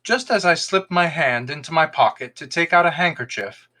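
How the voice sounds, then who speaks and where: A man reading a folktale aloud as an expressive podcast storyteller, in scripted narration. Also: fairly high pitch, moderate pace.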